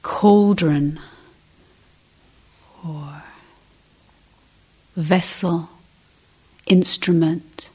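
A woman leading a guided meditation as a teacher, speaking softly and breathily in short, measured phrases with long pauses, often close to a whisper. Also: lowish voice, husky.

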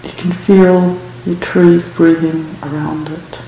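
Female meditation guide. Extremely slow, even delivery with long pauses, calm and unhurried, recorded in a very reverberant room. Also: on the low side. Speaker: meditation teacher. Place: large room at a retreat center. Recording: very echoey room, faint hiss.

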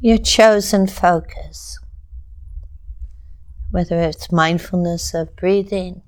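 A woman teaching a guided meditation in a calm, measured way, with long pauses between short phrases. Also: fairly low pitch, rough recording.

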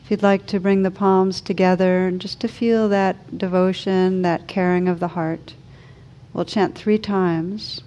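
A woman leading a guided meditation as a teacher, speaking slowly and soothingly with gentle pauses. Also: fairly low pitch, steady tone, lo-fi sound.

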